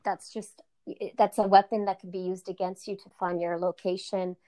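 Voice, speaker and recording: A woman's voice: medium pitch, flat delivery, normal speed, warm tone. A woman in her thirties, from the Czech Republic and raised in New York, talking casually as a guest over a remote call, friendly and full of fillers and restarts. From Czech Republic, raised in New York City. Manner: friendly, lots of fillers and restarts, laughs easily. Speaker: guest, co-founder of a media consultancy. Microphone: remote call audio.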